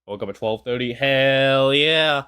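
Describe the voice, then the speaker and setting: A man's voice: medium pitch, measured pace, bright, forceful tone, clear, resonant tone. A twenty-year-old man from the United States giving casual, rambling reaction commentary, full of "you know" fillers. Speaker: YouTube reactor.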